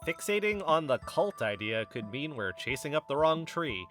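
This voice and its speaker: A man's voice: fairly high pitch, big pitch swings, moderate pace, a bit bright, faint music behind. Man playing Kyle, a contrarian podcast investigator. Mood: guarded, argumentative. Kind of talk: scripted audio drama dialogue.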